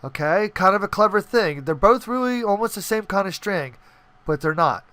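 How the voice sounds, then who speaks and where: A man's voice: sits high, animated, normal speed, bright, forceful tone, smooth, dry recording. A man hosting a casual screen-recorded coding tutorial, talking informally and often checking in with the listener. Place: indoors, voice-over on screen capture.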